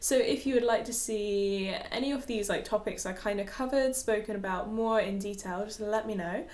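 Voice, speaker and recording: A woman's voice: mid-range voice, normal speed, a little mellow. Chatty giggly young woman, roomy echo. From Dubai. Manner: chatty, giggly, with many fillers. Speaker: vlogger and yoga teacher. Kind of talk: casual chat to camera.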